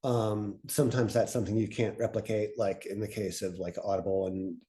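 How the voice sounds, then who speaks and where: A man speaking informally as a panelist, thoughtful and halting, often breaking off to rephrase his point. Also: lowish voice, little pitch movement, mellow. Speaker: panelist presenting on podcast pitching.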